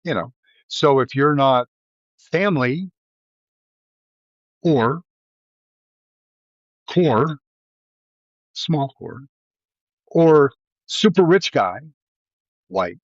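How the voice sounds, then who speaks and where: A man's voice: fairly high pitch, animated, drawn-out words, pauses often, no echo, muffled recording. A man from Ohio with a Midwestern accent, hosting a live video and talking in a rambling, digressive way with many fillers and restarts. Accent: Midwestern American. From Ohio, USA. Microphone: live stream mic.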